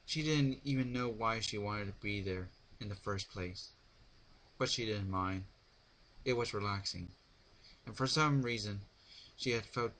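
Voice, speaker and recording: A man narrating a story as an amateur dramatic reading, halting and sometimes stumbling over words. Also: lowish voice, sharp tone. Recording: noisy amateur home recording.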